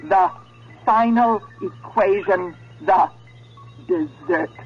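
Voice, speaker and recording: An actress playing a deadly sentient female computer in a radio drama, speaking with slow, deliberate delivery. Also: medium pitch. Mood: coldly taunting. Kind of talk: 1970s radio drama acting. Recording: muffled vintage radio broadcast, music underneath.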